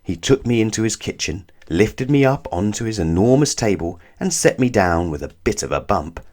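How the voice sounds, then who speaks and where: A man reads a children's storybook aloud. His voice sits on the low side and moves expressively up and down as he narrates. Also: very animated, normal speed, darkish tone. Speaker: storybook reader.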